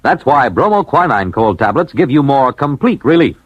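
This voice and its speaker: A man's voice: medium pitch, sing-song, moderate pace, muffled recording, a little hiss or hum. An American man working as a network radio announcer, reading scripted copy in an emphatic, formal 1950s style. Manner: emphatic, formal, polished delivery. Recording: vintage radio broadcast.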